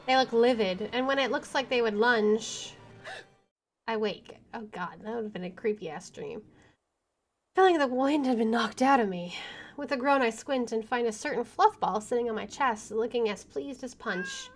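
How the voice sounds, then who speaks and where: A woman's voice: fairly high pitch, animated, normal speed. A woman streamer reading visual novel narration aloud, expressively, with casual chatty asides. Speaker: video game streamer.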